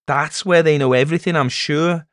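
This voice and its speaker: A man's voice: fairly high pitch, fairly even intonation, moderate pace, dry recording, studio quality, pitched above the performer's own voice, close to an everyday voice. A man voicing a big, proud village leader in a storybook tale, commanding, blustering and mocking. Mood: scornful, triumphant. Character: big, domineering village man, gruff, scornful, proud charm-worker. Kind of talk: storybook character voice in a narrated tale.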